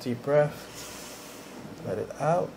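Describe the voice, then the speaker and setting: A man working as a chiropractor, giving calm hands-on instructions with short repeated reassurances in a small treatment room. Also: a little high, slow pace, noisy background.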